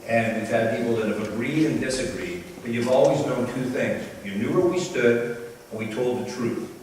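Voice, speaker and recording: Candidate speaking in an echoey hall. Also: male voice, medium pitch, moderate pace, darkish tone, distant mic, a little hiss or hum. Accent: Canadian. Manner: firm, earnest stump speech. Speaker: council candidate. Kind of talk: campaign speech at a public meeting. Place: large hall at a candidates meeting. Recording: echoey hall, mic from afar.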